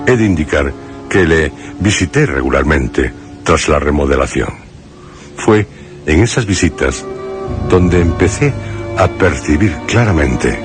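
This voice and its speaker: An extremely low-voiced haunted man with a Castilian accent from Spain recounts his memories in a horror radio play. His delivery is slow, solemn and literary, broken by dramatic pauses. Music plays behind the brooding narration. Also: exaggerated voice.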